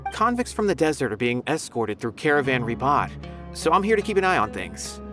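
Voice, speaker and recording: An earnest academy officer gives a courteous account, voiced by a man. His mid-range voice rises and falls lively, with game music playing beneath him. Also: mid-range voice, fairly quick, close to an everyday voice. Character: polite male academy officer, earnest and grateful. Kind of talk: video game voice acting.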